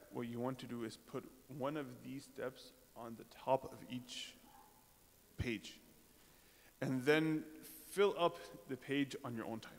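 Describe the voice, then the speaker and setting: A man of ordinary pitch preaches in a mosque prayer hall, and a long reverb trails each phrase. He talks to the congregation in a loose, conversational way, pausing with fillers and asking rhetorical questions. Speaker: religious speaker. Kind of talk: informal sermon to a congregation.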